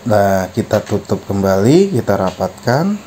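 Low-pitched, slow-talking man. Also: big pitch swings, warm tone. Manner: explanatory, instructional. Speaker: product tutorial presenter. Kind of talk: step-by-step product tutorial narration. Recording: faint background noise.

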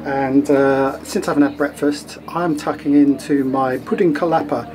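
A man's voice: mid-range voice, steady tone, moderate pace, crowd noise, poor audio. A middle-aged man chatting casually to camera as he vlogs on location. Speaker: vlogger. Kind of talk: casual vlog narration to camera. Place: on location: streets, car, offices. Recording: clear ambient noise, traffic and rooms.

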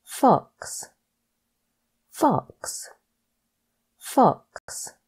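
Very slow, mellow woman teaching pronunciation. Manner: deliberate, careful enunciation. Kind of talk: scripted lesson narration, reading words for practice.

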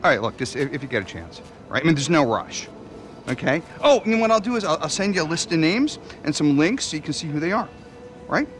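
Hyper high-pitched paparazzo. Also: male voice, fairly quick, slight background noise. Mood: wheedling. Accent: American. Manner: excitable, wheedling, stammering. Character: hyper, boastful, pushy paparazzo man. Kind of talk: video game cutscene acting.

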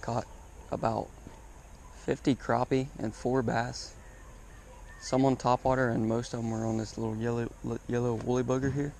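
A man chatting casually to viewers as a fishing vlogger, with an excitable, exclaiming, slangy way of talking, recorded outdoors on a body-worn action camera. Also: mid-range voice, normal speed, vocal fry. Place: outdoors on a grassy reservoir bank. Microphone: body-worn action camera mic. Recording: outdoor action camera with faint background noise.

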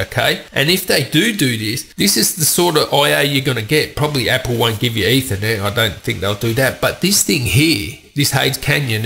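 Tech host, man, stuffed up from a cold, gravelly edge, chatty and opinionated. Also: mid-range voice, bright, forceful tone, slight background noise. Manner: chatty, opinionated, rambling asides. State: has a cold, congested voice. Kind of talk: casual tech news commentary.

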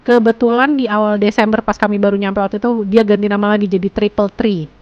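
Woman, mid-pitched, tour-guide voice-over, dry and slightly muffled sound. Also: steady tone, no room sound. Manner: unhurried guided-tour narration. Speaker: travel vlogger. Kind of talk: voice-over narration.

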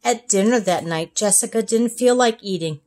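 A woman narrating a children's storybook as a kindergarten teacher, expressive, in clearly separated phrases. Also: medium pitch, normal speed. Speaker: kindergarten teacher reading aloud. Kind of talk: storybook read-aloud narration.

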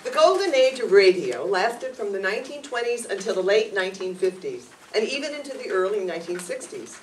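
A woman's voice: mid-range voice, big pitch swings, brisk. A middle-aged woman presenter reading scripted narration to introduce a radio play to an audience in a room. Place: meeting room stage, indoors. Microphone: far camera. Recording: distant camera mic, background noise.

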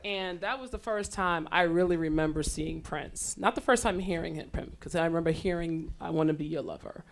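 Panel moderator, woman, 40s, from Trinidad, lecture hall mic, talkative and lively storyteller. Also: lowish voice, a little fast. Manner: chatty, storytelling, animated laughter. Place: lecture hall on a small stage.

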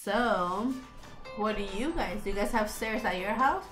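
A woman's voice: medium pitch, animated, measured pace, a bit bright, reverberant, soft background music. A young woman speaking as a teacher, guiding a children's read-along with simple, encouraging prompts. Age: young adult.

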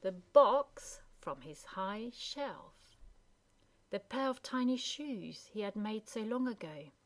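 A woman narrating a children's story as a scripted, expressive reading. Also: medium pitch, takes it slowly. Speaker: storybook reader.

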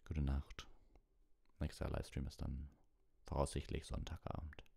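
A man's voice: extremely low pitch, monotone, moderate pace, gravelly, dark tone, husky, no echo. A man reading a bedtime audiobook and hosting it as a livestream. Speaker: audiobook reader and livestream host. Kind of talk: bedtime audiobook narration and soft sign-off.

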